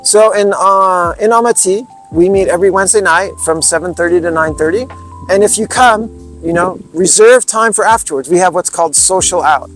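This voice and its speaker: High-voiced American man, earnest. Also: fairly quick, a bit bright, soft background music, low-quality recording. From Montana, USA. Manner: earnest, explanatory, storytelling. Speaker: interview guest, speaking club founder. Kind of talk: relaxed conversational interview.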